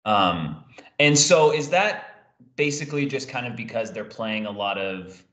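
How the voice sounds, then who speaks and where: A man's voice: lowish voice, lively intonation, a little slow, a bit bright, echoey room. A young man hosting a sports show with casual interview chat over a video call. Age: young adult. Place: indoor room on a video call. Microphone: video call microphone.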